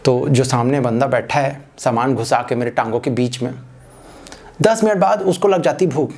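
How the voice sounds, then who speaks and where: A young man doing a stand-up comedy set on a small stage, telling an animated story and acting out other people's voices. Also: medium pitch, moderate pace, creaky. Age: young adult. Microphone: handheld mic. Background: audience laughter.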